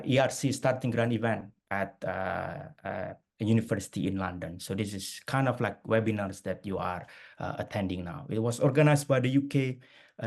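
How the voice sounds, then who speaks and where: A man's voice: mid-range voice, a little mellow. A middle-aged man from Indonesia giving a webinar talk over a video call, with many fillers and restarts. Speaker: guest speaker. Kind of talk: webinar presentation.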